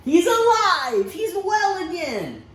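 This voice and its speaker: Man as an excited storybook servant, raised voice. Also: very high-pitched, slow pace, voice pushed up, heavily acted. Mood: excited, joyful. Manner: loud, exclamatory and eager. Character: excited male servants bringing good news in a storybook. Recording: roomy echo, faint background noise.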